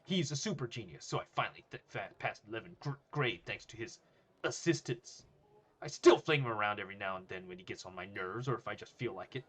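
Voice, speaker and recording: A menacing high school bully as a man plays him in a narrated story. He boasts and threatens with a husky, forceful voice that swings widely in pitch. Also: animated, brisk. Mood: threatening, smug.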